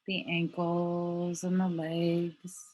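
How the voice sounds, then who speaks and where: A woman leads a guided meditation at a very slow pace, leaving long pauses between phrases. Her voice sits on the low side, and her delivery is gentle, like a mindfulness teacher giving instructions. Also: completely flat delivery.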